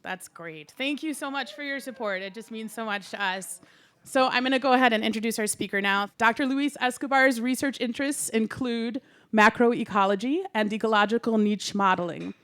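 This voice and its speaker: A woman's voice: on the high side, brisk, a bit bright, clear, resonant tone. A woman hosting a live science event, chatting casually and laughing between fillers as she introduces the speaker. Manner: chatty and giggly, with many fillers. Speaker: event host.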